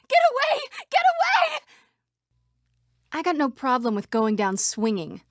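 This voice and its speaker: A woman's voice: a little high, fairly bright tone, no room sound, clean studio sound. An actress voicing female computer program citizens in a scripted video game. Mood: panicked screaming, then defiant, blunt. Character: female computer program citizens, by turns frightened, defiant or official.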